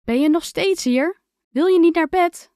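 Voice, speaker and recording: A woman's voice: extremely high pitch, a little slow, dark tone, voice pushed up. Boy ship captain voiced by a woman, young, eager, encouraging storybook friend, light music bed. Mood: sleepy, curious. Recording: music under the voice.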